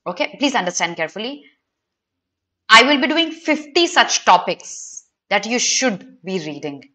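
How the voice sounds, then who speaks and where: A woman's voice: fairly high pitch, very animated, normal speed, sharp tone. A young woman with an Indian accent teaching a live online exam prep class, giving instructions directly to students.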